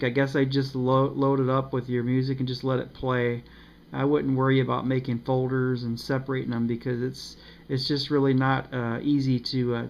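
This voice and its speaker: A man giving a casual, unscripted review of a radio, pausing often with ums and uhs. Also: medium pitch, creaky. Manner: hesitant, many ums and uhs. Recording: slightly dull with faint noise.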